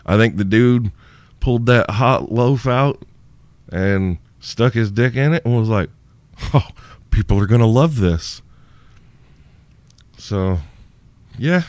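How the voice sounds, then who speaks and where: A man's voice: mid-range voice, expressive, slow pace, long pauses, darkish tone, no echo. A male podcast host answering listener questions in a crude, irreverent, rambling way, sounding sleepy and tired.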